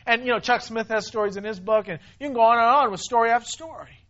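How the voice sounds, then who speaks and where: High-voiced emphatic preacher, muffled recording. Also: male voice, fast, pushed and bright. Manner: emphatic, repeats himself, rises and drops in volume. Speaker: pastor. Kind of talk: sermon.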